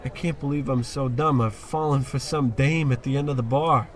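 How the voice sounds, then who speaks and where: A man's voice: medium pitch, steady tone, normal speed, close to an everyday voice. A man playing a hapless, hard-drinking working stiff who gripes in slang in a comic old-time radio play. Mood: lovesick.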